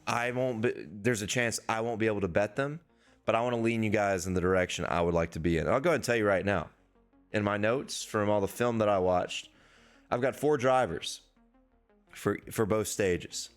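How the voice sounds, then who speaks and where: Hoarse man with a low voice. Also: normal speed, soft background music. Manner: loose, rambling, profane. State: hoarse, losing his voice. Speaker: sports betting podcast host. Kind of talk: casual solo betting preview, swearing freely.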